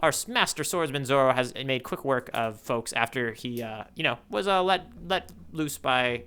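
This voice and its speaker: A man co-hosting a podcast, chatting casually about comics in an animated, enthusiastic way. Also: mid-range voice, moderate pace, clear, resonant tone. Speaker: podcast co-host. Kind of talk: casual manga discussion.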